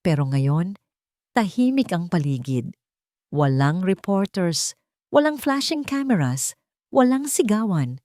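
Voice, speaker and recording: A woman narrates a scripted romantic story in the first person. Her voice sits fairly low for a woman. The sound is dry, with very little room around it. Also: big pitch swings, takes it slowly, long pauses, darkish tone, no echo.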